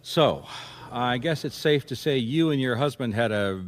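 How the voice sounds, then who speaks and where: An actor playing a patient, wry private detective in a radio mystery, questioning with dry restraint. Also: male voice, medium pitch, animated, moderate pace, lightly acted. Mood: flustered, placating.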